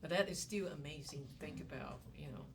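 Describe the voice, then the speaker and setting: A woman's voice: sits low, fairly quick. A woman from the Malaysia and Singapore area teaching economics in a classroom, chatty and given to acting out little scenes. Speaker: economics lecturer. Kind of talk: classroom lecture. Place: university classroom. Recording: distant classroom recording with faint noise.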